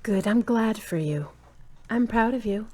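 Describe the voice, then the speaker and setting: A woman's voice: on the high side, lively intonation, unhurried, a little mellow. A woman playing a supportive, forthright girlfriend in an amateur audio drama, with a direct and conversational delivery. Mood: supportive, affectionate.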